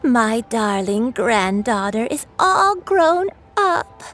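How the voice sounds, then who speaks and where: A woman's voice: on the high side, big pitch swings, fairly bright tone, heavily acted. A woman playing a doting, forgetful old grandmother in a video game, with a dreamy, tender manner. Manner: wandering, tender, unhurried. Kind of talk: video game character acting.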